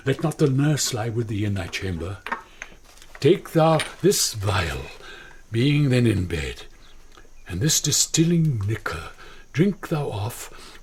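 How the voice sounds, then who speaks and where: A husky, slow-spoken elderly British friar. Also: male voice, mid-range voice, a little mellow. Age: older. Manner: measured, declamatory. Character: grave elderly friar, fatherly and urgent. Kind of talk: classical Shakespearean radio acting.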